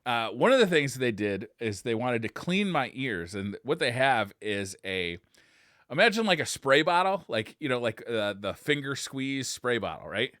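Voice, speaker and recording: Animated, bright-voiced man chatting. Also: medium pitch, normal speed, no echo, studio quality. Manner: animated, profane, joking. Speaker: podcast co-host. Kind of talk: casual chat.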